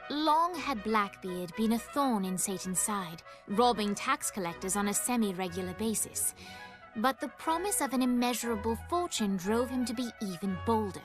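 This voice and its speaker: A woman's voice: fairly high pitch, expressive, normal speed, over music, natural voice. A woman playing a composed storybook narrator in a video game, telling a scripted tale in a poised, articulate way. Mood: composed, wry.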